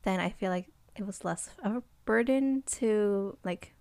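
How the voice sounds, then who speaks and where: A woman's voice: mid-range voice, a little slow, vocal fry, a little mellow, no echo. Young woman from America, casual chatty talk, dry close sound. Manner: chatty, laughs often, many fillers. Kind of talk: casual group chat.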